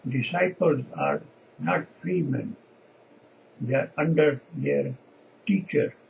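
A man in his seventies, a native Punjabi speaker with a Punjabi accent, preaching informally to camera in halting, emphatic short phrases. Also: medium pitch, expressive, vocal fry, narrow, tinny audio, a little hiss or hum. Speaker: lay preacher. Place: small indoor home office.

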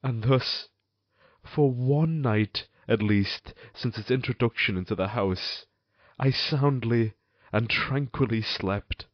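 An actor playing a guilt-ridden husband and murderer in a gothic audio drama, speaking formally and theatrically with an outward show of smug composure. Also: male voice, medium pitch, dry recording, dull audio, close to an everyday voice. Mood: grim, calm. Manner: measured, theatrical, formal old-fashioned diction.

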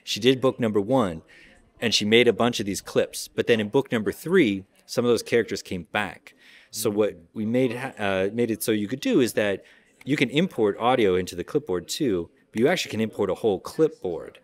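A man informally demonstrating software in a conversational, explanatory way. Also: lowish voice, fairly quick. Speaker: audio software company representative giving a demo.

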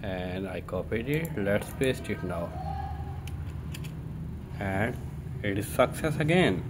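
A man presenting an informal step-by-step tech tutorial in a halting way, thinking aloud as he works. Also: lowish voice. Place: indoors at a computer desk. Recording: steady room noise.

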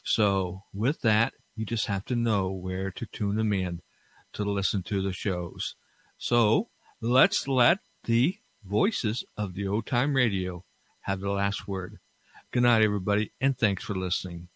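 Male podcast host, low voice, dry close sound, casual and sincere sign-off. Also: measured pace, a bit bright, dry recording. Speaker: podcast host of old-time radio shows.

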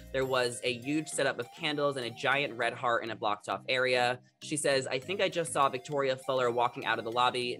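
Chatty bright-voiced young man. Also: mid-range voice. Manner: chatty, upbeat, lots of "like" and "um". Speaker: reality TV gossip vlogger. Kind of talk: casual chat to camera.

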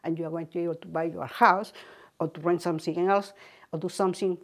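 A woman's voice: fairly low pitch, steady tone, fast. An older woman from South America, firmly giving advice in a documentary interview indoors.

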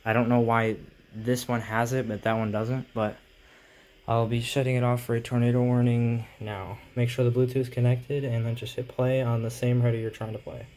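A man giving an informal tech tutorial in a halting, explanatory way, recorded on a handheld phone in a room at home. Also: mid-range voice, little pitch movement, darkish tone, a little hiss or hum. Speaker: hobbyist video host. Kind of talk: casual tech tutorial vlog. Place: small indoor room at home.

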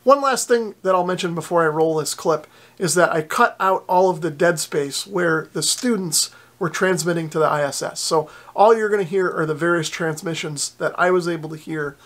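A man's voice: fairly high pitch, normal speed, a bit bright. A middle-aged man giving a casual explanation to camera as a hobby vlogger, recorded in a small indoor room.

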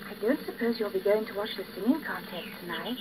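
A performer voicing a gently reproachful, nagging female nightingale, a wife in a children's story record. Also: mid-range voice, fast talker, close to an everyday voice. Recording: noisy old 78rpm gramophone record.

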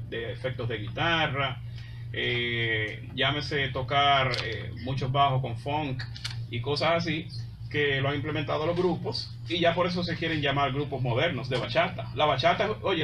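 A man's voice: fairly high pitch, distant mic, background noise. A Dominican man talking as a musician guest in a casual radio interview, colloquial and emphatic, repeating phrases for stress. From Dominican Republic. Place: small radio studio.

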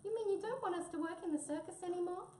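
A woman voicing a small, gentle, timid mouse in a children's storybook, with a sweet, quavering, soothing manner. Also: high-pitched, very animated, brisk, far from the mic, voice pushed up. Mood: anxious. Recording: roomy echo, faint background noise.

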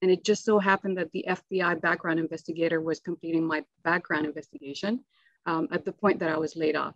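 A middle-aged woman from Sudan whose first language is Arabic, speaking earnestly on a panel and filling her pauses with "um" and "uh". Her voice sits fairly low for a woman. The video-call audio is dry and a little dull. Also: dry recording. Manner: earnest, many fillers.

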